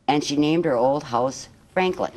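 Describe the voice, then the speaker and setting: A woman reading a children's picture book aloud as a guest storyteller, in measured, expressive phrases. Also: deep voice.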